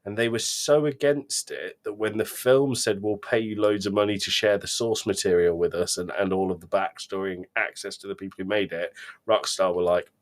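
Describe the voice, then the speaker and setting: A man's voice: lowish voice, steady tone, fairly quick. A man, chatting casually as a podcast co-host. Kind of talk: casual chat.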